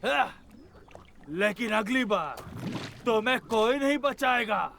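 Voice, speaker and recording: Cartoon villain wolf: hungry, gloating, high gravelly voice, music bed. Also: male voice, animated, fairly bright tone, slight background noise, exaggerated voice. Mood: baffled, angry. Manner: gloating, drawn-out threats and growling cries. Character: menacing, hungry male wolf hunting cubs for fresh meat. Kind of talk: Hindi cartoon dub acting. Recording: music under the voice.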